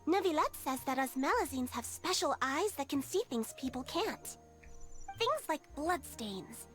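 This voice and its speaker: Very high, sweet little creature. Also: female voice, animated, measured pace, a bit bright, soft background music. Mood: earnest. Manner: innocent, earnest, hesitant. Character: sweet childlike female magical creature, earnest little inspector. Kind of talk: video game voice acting.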